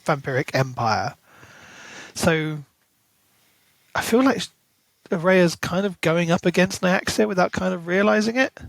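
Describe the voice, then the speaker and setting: A man co-hosting a podcast, chatting casually about a book and thinking out loud with lively rises in his voice. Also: fairly high pitch, no room sound, rough recording. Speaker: podcast co-host.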